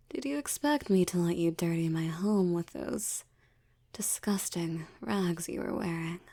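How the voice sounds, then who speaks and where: A woman playing a haughty, predatory female vampire in intimate audio roleplay, teasing and condescending, with a breathy murmur that slips toward a whisper. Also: fairly low pitch, dark tone. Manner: teasing, condescending, slow deliberate delivery.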